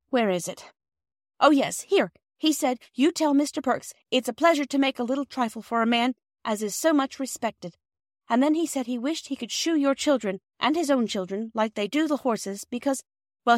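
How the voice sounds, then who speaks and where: A woman's voice: fairly high pitch, animated, normal speed, dry recording, clean studio sound, voice pushed up, close to an everyday voice. A woman voicing an earnest, eager, well-spoken young girl in a storybook, talking in breathless runs. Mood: earnest, pleading.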